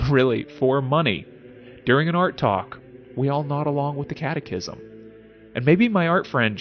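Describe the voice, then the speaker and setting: A man's voice: medium pitch, muffled recording. A man giving an informal explanatory monologue as a host, conversational and musing, often posing rhetorical questions. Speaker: host of a philosophy series.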